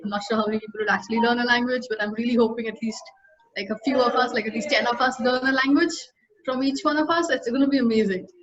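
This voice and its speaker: A woman organizer opening a webinar, warm and enthusiastic, with many fillers. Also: a little high, fairly even intonation, fast talker, a crowd in the background. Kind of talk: welcoming opening remarks. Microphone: video call. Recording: dull lo-fi video call audio.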